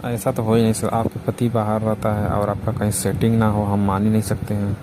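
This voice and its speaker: A man's voice: fairly low pitch, completely flat delivery, brisk, close to an everyday voice. A man playing a persuasive, inquisitive village ice seller in a phone romance skit, with a rustic eastern Uttar Pradesh accent. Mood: coaxing. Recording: noisy, outdoor traffic behind.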